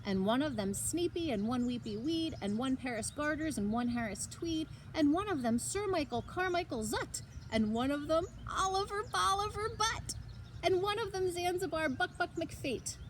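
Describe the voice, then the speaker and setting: A woman reads a storybook aloud in a high voice that swings widely in pitch. She leans into dramatic emphasis and stretches out key words for young listeners. The recording carries faint background noise. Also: expressive, moderate pace. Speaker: librarian reading aloud to children. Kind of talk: expressive storybook read-aloud.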